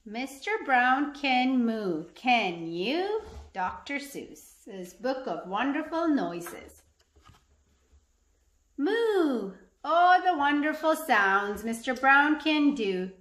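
A woman reading a picture book aloud for children in an expressive, sing-song way, acting out sound words. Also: high-pitched, very slow, echoey room. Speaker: parent or teacher reading aloud and asking questions.

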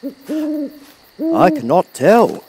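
A man voicing a gentle, protective man made of tin in a storybook. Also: extremely high pitch, huge pitch swings, extremely slow, husky, pitched above the performer's own voice, heavily acted, rough recording. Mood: reassuring. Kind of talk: storybook character voice.

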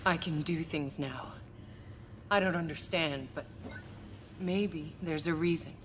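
A woman's voice: deep voice, slow pace, a little hiss or hum. An actress playing a tough, laconic female soldier in a video game, with a dry, guarded, terse delivery. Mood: bewildered. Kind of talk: cinematic video game acting.

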